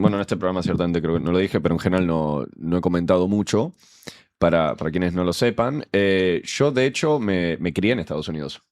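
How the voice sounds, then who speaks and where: A man's voice: sits low, a little mellow, smooth. A young man with an Argentine Spanish accent, explaining a topic as a show columnist in casual talk, full of fillers and restarts. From born in Guatemala, raised in the US and Argentina. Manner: halting, many fillers and restarts.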